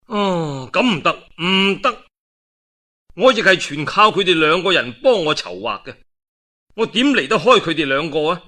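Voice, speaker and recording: A man's voice: fairly high pitch, expressive, normal speed, pushed and bright. A man voicing a young, reluctant warlord heir in a historical storytelling, emphatic and protesting, with a Cantonese accent. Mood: flustered refusal.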